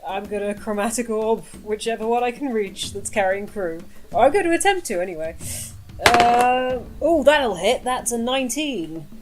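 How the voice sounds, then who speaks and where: Brief: woman, mid pitch, no-nonsense player, soft music under. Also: lively intonation, moderate pace. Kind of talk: casual tabletop play.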